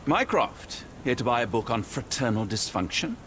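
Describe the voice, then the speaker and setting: An actor playing a loyal, principled Victorian doctor in a video game, British, earnest and formally articulate. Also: male voice, medium pitch, very animated, normal speed, a bit bright, a little hiss or hum. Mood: indignant, sardonic. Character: loyal, principled Victorian male doctor, steadfast companion to detective. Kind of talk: video game cutscene acting. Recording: music behind the voice.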